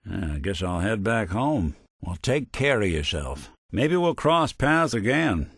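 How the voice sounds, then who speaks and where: Game role: weary middle-aged male android gumshoe, laconic noir wit, lively intonation, dry studio sound. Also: fairly low pitch, unhurried, breathy edge, no room sound, full character voice. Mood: easygoing, ready. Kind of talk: video game voice acting, noir detective.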